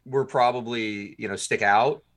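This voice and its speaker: A man's voice: mid-range voice, fairly even intonation, measured pace, fairly bright tone. A man guest on a podcast, a writer and producer, chatting casually and rambling with many fillers and restarts.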